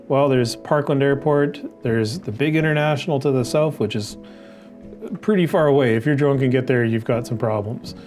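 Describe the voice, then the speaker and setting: A man's voice: medium pitch, darkish tone. Man explaining over background music. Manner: conversational, asks and answers own questions. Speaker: drone training instructor. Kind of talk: explanatory tutorial, walking through regulations on screen. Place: indoors in an office by a window. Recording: music playing behind the voice.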